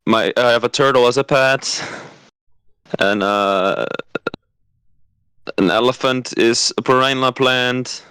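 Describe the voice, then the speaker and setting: A man's voice: medium pitch, bright, forceful tone, clean tone, no echo, clean studio sound. A man playing Freddy, an awkward, clueless amateur singer who hesitates and makes up clumsy rhymes as he goes. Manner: hesitant, halting, making up rhymes. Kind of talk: improvised comedy skit.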